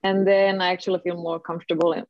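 A woman's voice: fairly low pitch, flat delivery, fast talker, dry recording. A woman in her thirties from Finland, a native Swedish speaker with a Finland Swedish accent, chatting casually as a singer guest on a video call, with many fillers. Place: home room, indoors. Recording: dull lo-fi video call audio.

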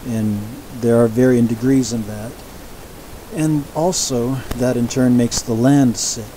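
Man teaching scripture over a steady hiss. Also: mid-range voice, low-quality recording. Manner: measured, explanatory, with frequent restarts and asides. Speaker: Bible teacher. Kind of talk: sermon-style Bible lecture.

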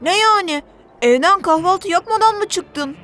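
Very high-pitched, stubborn cartoon youngster. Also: female voice, lively intonation, pushed and bright, soft background music, heavily acted. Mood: teasing, impatient. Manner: defiant, argumentative, quick to take offense. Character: stubborn, selfish, bossy young sea creature. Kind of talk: children's cartoon dubbing.

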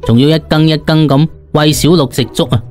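A man with a Cantonese accent tells a story in the first person. His voice is fairly high, and he narrates in a colloquial, dramatised style over soft music. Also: steady tone, a little fast.

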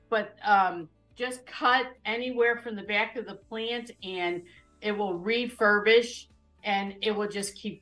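A woman's voice: medium pitch, a bit bright, poor audio. Chatty woman hosting a kitchen show. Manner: chatty, explains as she goes. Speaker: cooking show host. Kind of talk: casual live cooking demo chat. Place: home kitchen, indoors.

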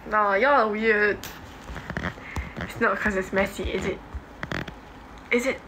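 A woman's voice: medium pitch, fairly even intonation, slow. Young Singaporean woman, noisy phone recording. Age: young adult. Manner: informal, offhand, slangy. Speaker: livestream host. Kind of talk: casual livestream chat, reading and answering comments. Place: home bedroom, indoors. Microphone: phone at arm's length.

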